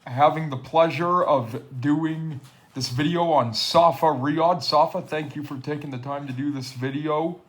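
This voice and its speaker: Slow-paced male interviewer, higher voice. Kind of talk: informal recorded interview.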